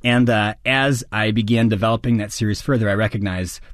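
A middle-aged American man, an actor, answering interview questions in an earnest, articulate and measured way. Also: lowish voice, steady tone, dry recording, studio quality. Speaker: actor and guest.

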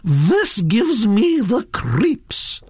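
An elderly aristocratic Duchess, pitched high and swooping widely. She is autocratic and scornful, fussing and exclaiming as she hands down her verdicts. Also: huge pitch swings, extremely slow, a little mellow, slightly rough, dry recording, studio quality, full character voice. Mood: appalled, disapproving. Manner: autocratic, exclamatory, fussy hesitations.

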